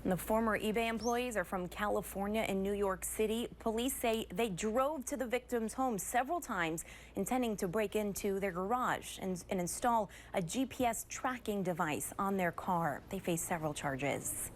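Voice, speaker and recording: American lady news anchor reading the headlines, mid pitch voice, bit of background noise. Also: normal speed. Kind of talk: scripted news broadcast narration.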